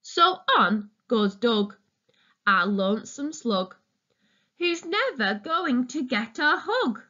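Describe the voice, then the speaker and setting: A woman reading a children's picture book aloud expressively and deliberately, as if addressing young listeners. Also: fairly high pitch, sing-song, bright, forceful tone, dull audio. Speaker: storytime reader, teacher.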